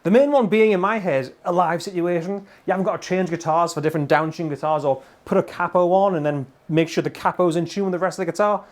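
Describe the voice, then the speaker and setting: A man's voice: sits high, fast, hardly any pauses. A man in his thirties with a British accent, giving a chatty, enthusiastic, informal gear demonstration. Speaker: guitar gear reviewer. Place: small home studio full of guitars and amps. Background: electric guitar playing.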